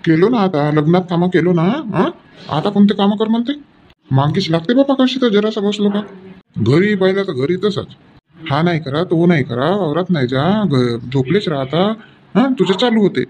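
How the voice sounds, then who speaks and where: A man's voice: high-pitched, expressive, normal speed, a little mellow, a little hiss or hum. A man voicing a put-upon middle-aged village husband in a cartoon comedy, whining and grumbling in rural Vidarbha Marathi. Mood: grumbling, aggrieved. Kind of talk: homely cartoon comedy dialogue.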